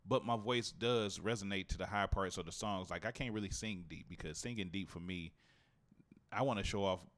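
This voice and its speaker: A man hosting a podcast in quick, laughing banter, voice jumping up high at times. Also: a bit bright. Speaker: podcast host. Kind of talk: casual podcast chat.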